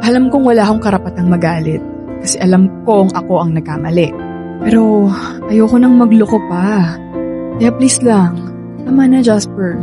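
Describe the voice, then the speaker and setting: A woman's voice: medium pitch, moderate pace, long pauses, music in the background, close to an everyday voice. An actress with a Filipino accent playing a young woman, firm and exasperated with her ex in a radio drama. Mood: pleading.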